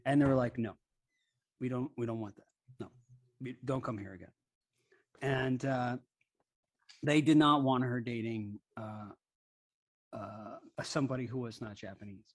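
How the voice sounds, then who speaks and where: A man's voice: medium pitch, a little slow, long pauses. A man who grew up in Hawaii, chatting casually and telling rambling anecdotes with many restarts. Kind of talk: casual chat.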